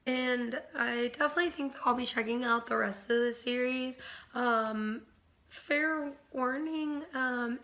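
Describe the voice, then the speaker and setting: A woman with a bright, slightly high voice, still worn from a recent illness. She rambles through a casual book review, full of ums and likes and animated asides.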